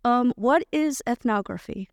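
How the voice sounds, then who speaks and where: A woman's voice: a little high, a little slow, creaky, no room sound, studio quality. A woman co-hosting a podcast who asks friendly, plain questions of her guests. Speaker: podcast co-host. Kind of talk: podcast interviewing.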